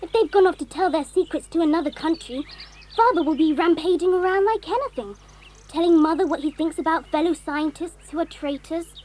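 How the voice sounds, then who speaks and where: Excitable tomboy girl, very high-pitched. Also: a little fast, a little hiss or hum. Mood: indignant, eager. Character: eager, strong-willed tomboy girl, devoted to her dog. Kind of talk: children's audio drama acting.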